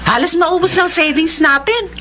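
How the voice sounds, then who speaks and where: Fretful, animated mother. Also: very high-pitched, moderate pace, slight background noise, full character voice. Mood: relieved, worried. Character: worried mother fretting over money. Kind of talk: radio drama acting.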